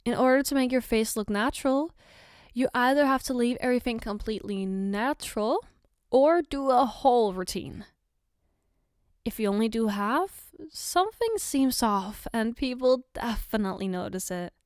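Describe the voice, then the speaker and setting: A woman playing a caring, playful girlfriend in an ASMR roleplay, gently reassuring and patiently explaining as she gives soft personal attention. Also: a little high, expressive, moderate pace, clean tone, dry recording, studio quality, close to an everyday voice. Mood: playful, teasing. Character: caring, playful girlfriend doing her partner's makeup.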